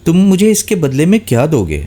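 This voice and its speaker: A man's voice: on the high side, wildly swooping pitch, mellow, soft background music, voice pushed up. A man voicing a blacksmith who bargains in a children's story. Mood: bargaining. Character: bargaining male village blacksmith.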